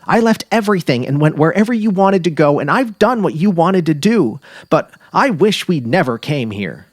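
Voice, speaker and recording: Man acting as a nervous pleading wife, raised pitch, very lively intonation, insistent, audio drama, dry sound. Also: moderate pace, no room sound. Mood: hurt, upset. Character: anxious, pleading wife of a dying writer. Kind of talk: dramatic reading of literary fiction.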